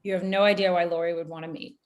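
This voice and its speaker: Woman playing a shrewd, lowish-voiced young sidekick. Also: level tone, fast, highs cut off, close to an everyday voice. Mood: dry, probing. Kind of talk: drama table read.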